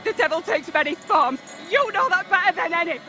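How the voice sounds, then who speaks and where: Give the trial a woman acting as a grave, defiant villager of the 1600s. She has a very high voice and solemn archaic oratory, with game music clearly audible behind her. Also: animated, fairly quick, pushed and bright, heavily acted. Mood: grave accusing. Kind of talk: dramatic video game acting.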